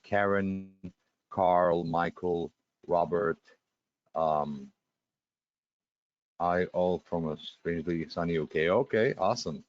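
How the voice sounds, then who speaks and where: Deep Russian-accented man hosting a webinar. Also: dull audio. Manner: chatty, many fillers and restarts. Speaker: webinar host. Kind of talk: live webinar presentation.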